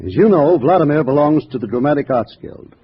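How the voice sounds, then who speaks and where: An actor playing a cold, curt, commanding communist secret police chief in 1950s radio style. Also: male voice, on the high side, lively intonation, brisk, breathy edge. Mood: curt, icy. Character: icy, domineering male communist party secret police chief. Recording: muffled old radio recording with noise.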